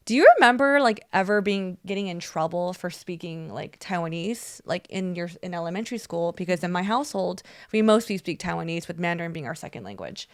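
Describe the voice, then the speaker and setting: A woman's voice: fairly low pitch, no echo, clean studio sound. A young American woman co-hosting a podcast in casual chat, rambling with lots of "like" and acting out the voices of people she quotes. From United States. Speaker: podcast co-host.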